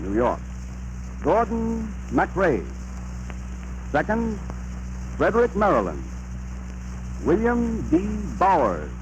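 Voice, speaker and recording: American guy doing 1930s radio announcer, high lively voice, noisy old recording. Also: lo-fi sound.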